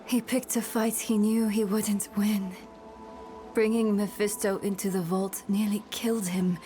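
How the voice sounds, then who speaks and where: A woman playing a weary, resolute young adventurer in a fantasy game cutscene, with a measured, brooding, often hushed delivery. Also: mid-range voice, flat delivery, close to an everyday voice. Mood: pained, resolute. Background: music.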